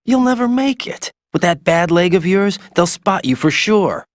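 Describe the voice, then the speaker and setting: A man's voice: high-pitched, lively intonation, normal speed, dry recording, clean studio sound. A man playing a young, earnest rookie operative in a video game, clipped and inquisitive in his delivery. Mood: questioning, concerned. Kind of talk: dramatic video game voice acting.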